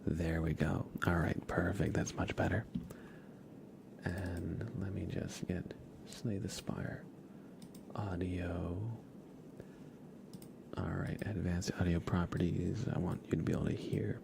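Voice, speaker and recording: A man hosting a casual ASMR livestream, speaking in a soft, hushed, whispery murmur close to the microphone. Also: low-pitched, little pitch movement, takes it slowly, vocal fry, noisy background.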